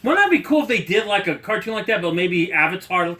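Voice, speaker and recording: Male co-host, high lively pitch, bright forceful tone, quick casual sarcastic chat. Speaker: podcast co-host.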